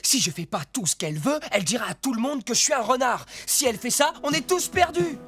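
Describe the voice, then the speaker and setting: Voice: medium pitch, animated, quick pace, slight background noise, lightly acted. An actor voicing an anxious, scheming male fox in a cartoon, urgent, pleading and exasperated. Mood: panicky. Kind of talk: exaggerated cartoon voice acting. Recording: loud music bed behind.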